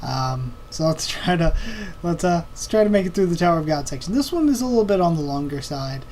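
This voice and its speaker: Higher-voiced man, informal and halting, noisy recording. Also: animated, a little mellow. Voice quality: voice tiring, slightly strained. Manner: informal, halting, thinking aloud. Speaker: online video host. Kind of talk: casual Q&A commentary on anime and comics.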